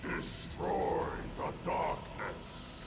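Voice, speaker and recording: Slow, booming demonic dark lord. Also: male voice, reverberant, noisy background. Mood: menacing, defiant. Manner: grandiose, slow, declaiming. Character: booming male demonic lord of darkness, menacing, vengeful. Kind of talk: video game cutscene acting. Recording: loud music under the voice.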